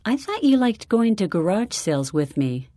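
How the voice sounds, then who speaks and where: Woman playing a gentle, mellow-voiced mother. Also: mid-range voice, very animated, moderate pace, no room sound, clean studio sound. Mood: puzzled. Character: gentle, patient, understanding mother of young children. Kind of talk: children's story dialogue reading.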